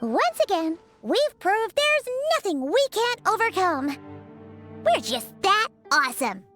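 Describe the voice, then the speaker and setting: An actress playing a tiny, excitable fairy-like companion who talks about herself in the third person, bubbly and boastful. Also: female voice, takes it slowly, soft background music, full character voice. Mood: cheerful. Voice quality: very high and chirpy. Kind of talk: video game voice acting.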